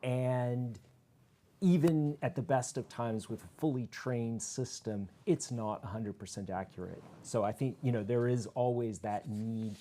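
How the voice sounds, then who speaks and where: A man's voice: medium pitch, measured pace, dark tone. A middle-aged man talking conversationally as a panelist in a discussion.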